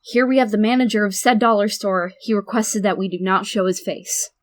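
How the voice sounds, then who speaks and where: A woman playing a TV news anchor, reading the news briskly. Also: mid-range voice, lightly acted.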